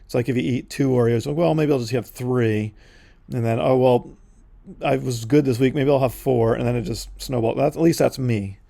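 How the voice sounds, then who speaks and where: A man's voice: mid-range voice, steady tone, moderate pace, creaky, warm tone, no room sound. A man in his forties casually rambling as a podcast host while he paints, drifting into side notes with lots of fillers. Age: 40s.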